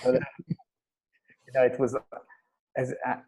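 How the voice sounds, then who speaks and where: A man born in Kenya, a guest acupuncturist, telling stories in a casual interview with many pauses and restarts. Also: medium pitch, sing-song, vocal fry, mellow, breathy edge, rough recording. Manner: halting, many fillers and restarts.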